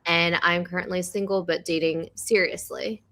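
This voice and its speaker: A woman in her thirties talks earnestly on a panel, leaning on "like" as she thinks aloud. Her voice is low for a woman yet bright and forward. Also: steady tone, normal speed. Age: 30s. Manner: earnest, filler-heavy with "like". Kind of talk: casual panel chat.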